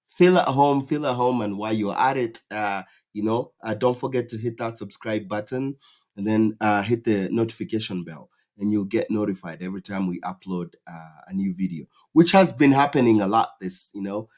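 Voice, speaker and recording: A man's voice: lowish voice, normal speed, a little mellow. A man from Kenya hosting his channel, explaining in a casual, rambling way with many fillers and restarts. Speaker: YouTube channel host. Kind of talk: casual explainer talk to camera.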